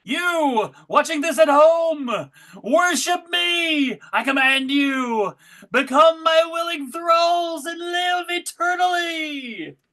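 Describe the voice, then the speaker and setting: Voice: very high-pitched, slow pace, pushed and bright, heavily acted. An actor playing a pompous, slippery devil in a live comedy table read, given to indignation and bellowing. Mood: grandiose commanding.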